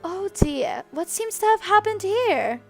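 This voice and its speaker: A woman voicing a calm, poised maid who speaks smoothly and gently scolds. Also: very high-pitched, a little slow, soft background music, voice pushed up, heavily acted. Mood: concerned. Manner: smooth, soothing, genteel.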